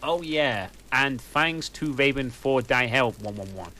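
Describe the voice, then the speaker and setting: A man reads a story aloud for comedy at home. He takes his time and lets his pitch rise and fall with animation. Between lines he drops dry, mocking asides. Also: expressive, a little slow, sharp tone. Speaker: reader. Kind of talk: comic reading of a fanfic.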